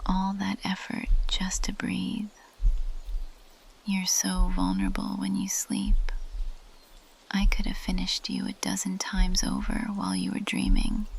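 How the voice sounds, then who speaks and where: A woman playing an ancient, possessive plant monster girl in an intimate ASMR roleplay, speaking in a breathy, lingering whisper. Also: medium pitch, exaggerated voice. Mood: teasing, predatory.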